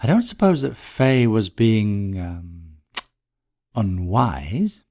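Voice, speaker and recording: A man's voice: fairly low pitch, big pitch swings, drawn-out words, a little mellow, exaggerated voice. A man voicing a genteel, shrewd lady detective in a mystery story, polite, thoughtful and probing.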